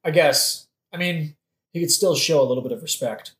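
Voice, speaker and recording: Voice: medium pitch, moderate pace, lightly acted. A performer playing a brooding, indignant young prince who rants sardonically in a modern comic audio drama. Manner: ranting, sardonic, swearing. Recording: roomy echo, faint noise.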